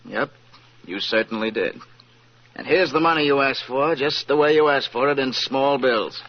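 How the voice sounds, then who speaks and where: A man playing a calculating, smooth-talking crime boss, curt and commanding, in old-time radio drama style. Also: medium pitch, normal speed, natural voice. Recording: muffled old radio recording.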